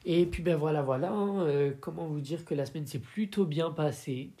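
A man's voice: on the high side, moderate pace, dark tone. A tired man from Paris hosting a casual, confiding solo podcast, speaking informally with slang and a hesitant delivery. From Paris, France. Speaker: podcast host.

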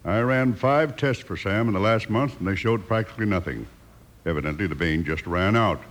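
A man's voice: on the low side, brisk, fairly bright tone, lightly acted. An American actor playing an affable, folksy small-town businessman in a radio western, polite and obliging. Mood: concerned. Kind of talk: 1950s radio western acting.

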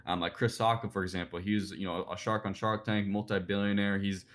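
A man's voice: lowish voice, little pitch movement, a bit bright. A young man in his twenties answering interview questions about personal branding, rambling with lots of "like" and "you know". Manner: fast, rambling, filler-heavy with "like" and "you know". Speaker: guest, personal branding speaker.

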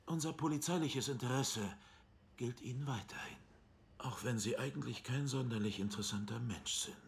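An actor playing a hostile, officious police detective, formal and deadpan, in a dubbed TV drama. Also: male voice, medium pitch, normal speed, creaky, lightly acted. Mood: cold, condescending.

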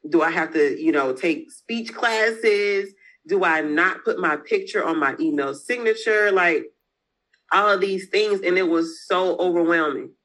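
A woman's voice: fairly low pitch, a little slow, a bit bright. Woman, frank interview guest, casual slangy delivery. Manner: candid, frank, uses slang and mild cursing. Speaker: entrepreneur guest.

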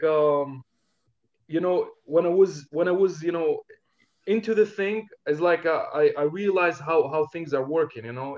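A man from Algeria, a rapper guest talking in a relaxed interview, hesitant and full of fillers. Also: fairly high pitch, highs cut off. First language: Algerian Darija. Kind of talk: casual interview talk.

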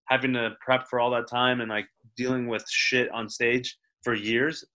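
Bright-voiced young comedian, rambling chat. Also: male voice, mid-range voice, little pitch movement, moderate pace. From Toronto area, Canada. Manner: rambling, restarts often, swears casually. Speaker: stand-up comedian guest. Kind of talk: casual interview chat.

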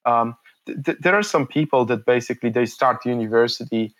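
A man's voice: medium pitch, a little fast, a bit bright. Polish guy in his 30s, talks in english, lots of ums and you knows, middling voice. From Poland. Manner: hesitant, many fillers and repeated starts. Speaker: interviewee. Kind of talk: reflective interview answers.

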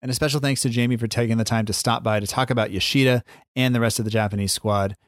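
A man's voice: medium pitch, steady tone, quick pace. A man hosting a podcast, talking in an easygoing, loosely phrased way. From United States. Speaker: podcast host. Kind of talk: casual podcast chat.

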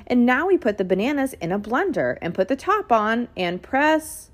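Storybook mother tiger, played by a woman: nurturing, cheerful, coaxing, with brightly varied, singsong pitch. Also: big pitch swings, normal speed. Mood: encouraging. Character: gentle, encouraging mother tiger.

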